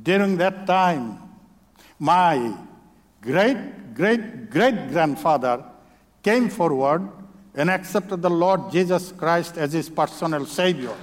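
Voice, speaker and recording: A man from Bangladesh with a Bangladeshi accent, a native Bengali speaker, preaching as a visiting speaker in church, in short halting phrases. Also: on the high side, animated. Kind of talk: church sermon testimony. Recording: long reverb of a church hall.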